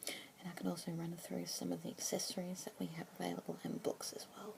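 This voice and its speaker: A young woman with an Australian accent whispering softly and gently as a pet adoption consultant in an ASMR roleplay. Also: fairly low pitch, little pitch movement, creaky. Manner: soft whispering, gentle and unhurried. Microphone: close sensitive ASMR mic. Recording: noisy hiss behind close whisper.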